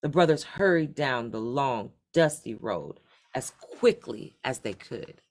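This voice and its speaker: A woman reading a children's story aloud in an expressive, deliberate storytelling manner. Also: very deep voice. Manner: animated, deliberate read-aloud delivery. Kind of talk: expressive scripted storybook narration.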